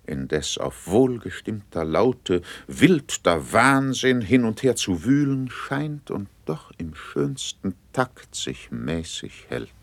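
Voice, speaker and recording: An actor playing an eloquent, self-assured courtier in a classical verse radio drama, with measured, ornate declamation. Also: male voice, low voice, no room sound, heavily acted.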